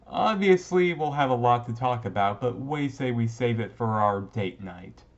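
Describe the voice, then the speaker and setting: A man playing a shy, young-looking goth vampire classmate in a romantic roleplay, speaking hesitantly and casually to the listener with gentle teasing. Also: mid-range voice, lively intonation, a little slow, gravelly, lo-fi sound. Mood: playful, fond. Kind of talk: romantic roleplay audio drama, talking to listener.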